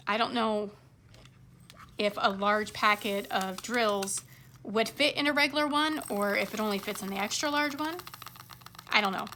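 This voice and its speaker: Female craft vlogger, fairly high and crisp-bright, slightly hoarse, informal rambling chat. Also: slight background noise. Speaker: craft vlog host. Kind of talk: casual rambling chat to viewers while crafting.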